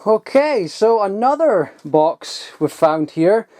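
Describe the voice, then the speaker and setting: A man, chatting casually and excitedly while digging through old toys, rambling and swearing now and then. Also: sits high, slow pace, no room sound, studio quality. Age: 20s. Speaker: toy collector vlogger. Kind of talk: casual unboxing chat.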